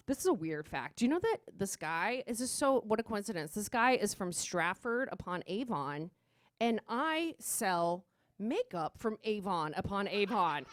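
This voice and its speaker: A woman's voice: medium pitch, no echo, natural voice. A woman playing a gossipy Renaissance-era lady doing a sarcastic, conversational stand-up routine peppered with "like". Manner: conversational valley-girl sarcasm, full of "like".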